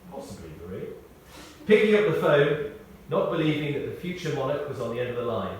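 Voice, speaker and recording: A British man reads a scripted memorial tribute as a eulogist, telling anecdotes in a measured way with pauses and emphasis. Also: mid-range voice, big pitch swings. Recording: very echoey, distant mic, faint noise.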